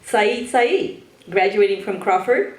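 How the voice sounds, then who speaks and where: A woman's voice: medium pitch, animated, slow. A woman presenting awards at a ceremony, reading out short introductions of scholars in a hall. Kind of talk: semi-scripted announcing of scholars. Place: large hall with an audience. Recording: echoey hall, distant mic, faint noise.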